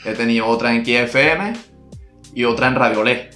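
A man's voice: mid-range voice, moderate pace, pushed and bright, close to an everyday voice. A man playing a brash, touchy male reggaeton singer, full of slang. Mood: boastful. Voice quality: slangy, keeps saying brother, prickly. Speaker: guest artist. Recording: roomy with faint music.

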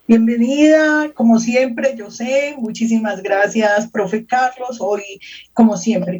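A woman co-hosting an interview, speaking conversationally in halting, thoughtful phrases. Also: medium pitch, mellow, husky. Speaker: co-host and professor. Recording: muffled, distant from the mic.

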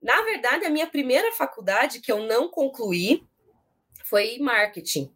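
A woman's voice: a little high, animated, measured pace, a bit bright, slightly rough. Woman with rural São Paulo accent. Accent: rural São Paulo Brazilian, drawn-out r. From São Paulo state, Brazil. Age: 30s. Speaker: interview guest, education professional. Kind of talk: casual interview storytelling.

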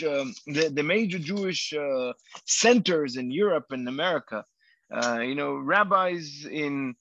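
A man's voice: fairly high pitch, fairly bright tone, slight background noise. A man whose first language is Hebrew, a rabbi answering interview questions in English with many fillers and restarts. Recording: dull lo-fi dry sound.

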